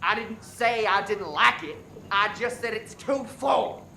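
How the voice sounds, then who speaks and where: Guy acting a big grumpy old husband, creaky sharp voice, radio play, bit of noise. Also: sits high, a little slow, exaggerated voice. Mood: grumbling. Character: grumbling, defensive big old husband, dryly bickering.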